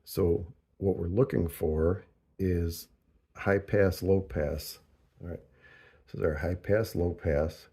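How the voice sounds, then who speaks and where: A man narrating a casual hands-on tutorial, thinking aloud in a deliberate, halting way as he works through figures. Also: low-pitched, vocal fry, warm tone, husky. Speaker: host of a DIY audio tutorial.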